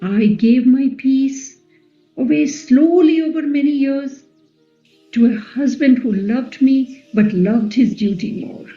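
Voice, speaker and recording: A serene but wounded queen of ancient epic , played by a woman in a play reading. Her fairly high voice is extremely mellow, and she speaks deliberately with quiet defiance in an echoey recording. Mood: weary defiance. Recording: echoey, slightly dull, music underneath.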